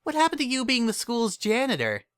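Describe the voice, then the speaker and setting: A man's voice: very high voice, wildly swooping pitch, a little fast, fairly bright tone, clear, resonant tone, pitched above the performer's own voice, full character voice. A man voicing a protective, no-nonsense mare shopkeeper in a pony story, with a scolding, sceptical manner. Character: protective, no-nonsense yellow mare minding candy shop.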